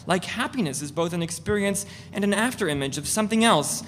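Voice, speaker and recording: A woman's voice: mid-range voice, expressive, fairly quick, natural voice. Self-promoting male artist, played by a woman: earnest, pushy, stammering; reverberant, slightly noisy live reading. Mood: earnest, pretentious. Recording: echoey hall, noticeable noise.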